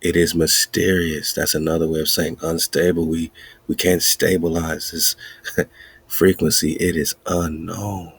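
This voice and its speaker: Casting: deep, gravelly male podcast host, rambling and excitable, husky tone, dry close sound. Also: unhurried, no echo. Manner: excited, rambling, laughs often, wordplay. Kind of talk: casual commentary.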